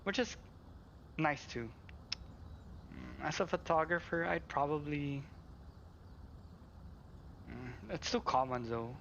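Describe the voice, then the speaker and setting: A woman's voice: sits low, takes it slowly, pauses often, fairly bright tone, highs cut off, slight background noise. A woman game streamer giving casual live commentary, musing aloud in a hesitant way.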